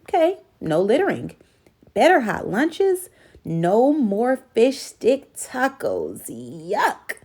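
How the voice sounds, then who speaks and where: A woman voicing a determined, opinionated schoolgirl candidate in a storybook, decisive and expressive. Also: a little high, slow, breathy edge, heavily acted. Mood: decisive, picky.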